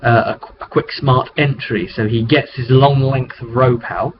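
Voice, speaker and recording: A man's voice: mid-range voice, steady tone, moderate pace. Man rambling on a dull webcam mic. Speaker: tabletop game master hosting a session recap vidcast. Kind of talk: casual off-the-cuff storytelling recap of a roleplay session. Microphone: webcam microphone. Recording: dull lo-fi webcam audio with noise.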